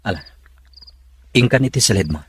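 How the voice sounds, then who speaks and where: A man playing a troubled, defensive young lover in a radio drama, with a very husky voice. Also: fairly low pitch, expressive, moderate pace, natural voice. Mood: defensive, weary.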